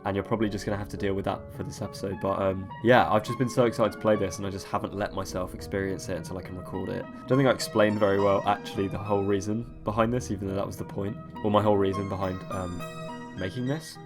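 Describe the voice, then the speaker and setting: A young man with a cold, sniffly and croaky, rambling through casual gameplay commentary as a let's-play host. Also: deep voice, fairly even intonation, fast talker, music in the background. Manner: rambling, many ums and restarts.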